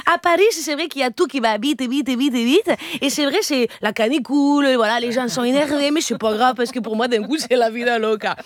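A woman's voice: sits high, brisk, no echo, clean studio sound, lightly acted. A woman playing a bubbly Spanish woman from Zaragoza, speaking French with a Spanish accent and tripping over French place names. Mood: exuberant.

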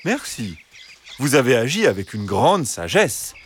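A man's voice: on the high side, very animated, normal speed, a bit bright, a little hiss or hum, pitched above the performer's own voice. A man voicing a sly fox in a children's story, pleading and arguing with false politeness and hesitant wheedling. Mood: calm.

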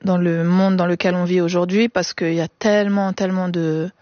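A woman's voice: fairly low pitch, little pitch movement, moderate pace, no room sound. A woman singer in a radio interview, talking hesitantly with many restarts and slipping English words into her speech. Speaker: singer and guest. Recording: dull, dry studio sound.

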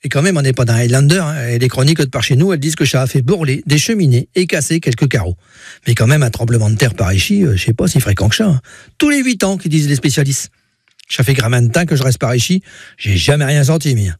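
A man's voice: medium pitch, expressive, quick pace, a little mellow, breathy edge, dry recording, clean studio sound. A man doing a folksy, mocking humor column on morning radio. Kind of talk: joking regional chronicle.

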